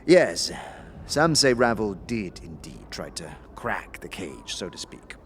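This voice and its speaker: A man voicing a mischievous, worldly fixer in an audio drama, telling old lore in a wry, measured way. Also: low voice, very animated, a little hiss or hum, full character voice. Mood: confiding. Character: Sebastian, mischievous worldly male fixer, knowing lore-teller.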